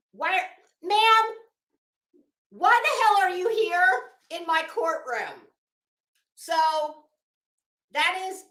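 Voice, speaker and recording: A woman's voice: high-pitched, pushed and bright. A woman giving unscripted, opinionated legal commentary in an emphatic, sarcastic, exasperated way, swearing freely and often repeating words as she goes. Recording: roomy, echoing room sound.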